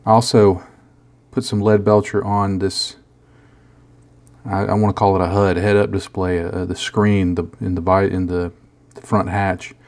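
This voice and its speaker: A man with a bad cold and a rough, hoarse voice, casually walking through a model painting tutorial with many small restarts. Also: sits low, little pitch movement, unhurried, darkish tone. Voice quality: rough, gravelly. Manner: rambling, self-deprecating, many restarts.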